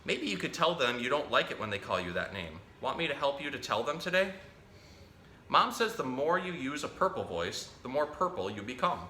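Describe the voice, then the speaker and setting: A man hosting a children's storytime, reading a picture book aloud in a playful, expressive way and talking directly to young listeners. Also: medium pitch, a little fast. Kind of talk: animated read-aloud of a children's picture book with chatty asides to a pet parrot. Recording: roomy echo, faint background noise.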